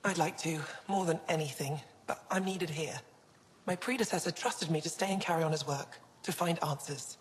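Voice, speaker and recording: A woman's voice: sits low, normal speed, close to an everyday voice. A woman playing an earnest, scholarly young alien museum curator in a video game. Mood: wistful, hopeful. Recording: long hall reverb.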